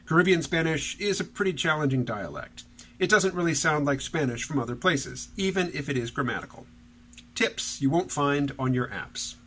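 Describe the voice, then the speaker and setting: Man, slightly high pitch, casual solo vlog, rambling, chuckling, fillers. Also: a bit bright, slightly rough. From Southern United States. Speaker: vlogger. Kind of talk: casual solo vlog reading viewer comments.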